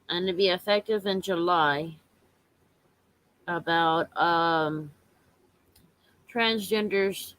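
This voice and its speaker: A woman with a hoarse voice from allergies, talking casually and haltingly to her viewers on a home live stream. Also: on the low side, a bit bright, low-quality recording. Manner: halting, repetitive, earnest.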